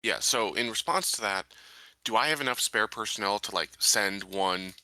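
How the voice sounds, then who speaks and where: A man playing a tabletop game, talking casually in a measured, inquisitive way. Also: lowish voice, pushed and bright, no echo, poor audio.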